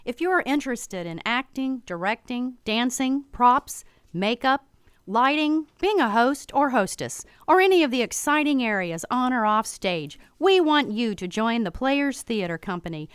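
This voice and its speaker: A woman's voice: fairly high pitch, lively intonation, moderate pace, clean tone, no echo, studio quality. A woman announcer reading a scripted radio advertisement. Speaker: promo announcer.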